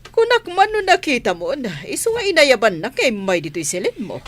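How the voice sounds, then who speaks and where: An actress playing a stern, suspicious older mistress of a wealthy house in an Ilocano radio drama, scolding and questioning. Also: female voice, sits high, sing-song, fairly quick, a bit bright, full character voice. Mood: nagging. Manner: scolding, interrogating.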